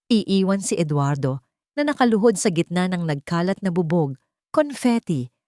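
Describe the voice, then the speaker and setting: A woman's voice: on the low side, very animated, normal speed, dark tone, dry recording, clean studio sound. A woman narrator reading a scripted story in a dramatic style.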